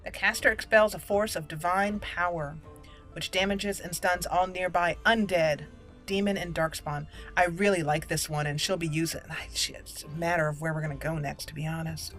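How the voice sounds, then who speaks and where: A woman's voice: fairly low pitch, steady tone, moderate pace, a bit bright. A woman giving casual, chatty gaming commentary, thinking aloud and exclaiming as she plays. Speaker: gameplay video host. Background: game music.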